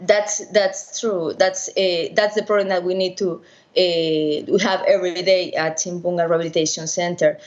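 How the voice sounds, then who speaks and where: Spanish accent lady vet, low-ish voice, stops and restarts a lot, dull skype sound. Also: fairly even intonation. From Spain. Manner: halting, many restarts and fillers. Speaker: veterinarian and primatologist guest. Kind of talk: remote interview answers. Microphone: video call. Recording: lo-fi internet call.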